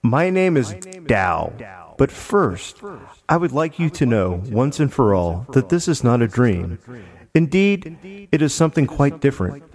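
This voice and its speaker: A man voicing a calm, friendly, towering alien woman with measured, formal delivery. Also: medium pitch. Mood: solemn, explanatory. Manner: measured, formal story reading. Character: calm, friendly nine-foot alien woman, reassuring and wise.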